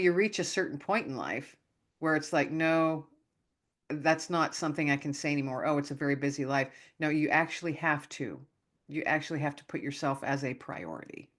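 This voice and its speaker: A middle-aged woman hosting a casual interview podcast, chatty and laughing often, with a low voice. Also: a little fast. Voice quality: low voice, naturally raspy when singing. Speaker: podcast host.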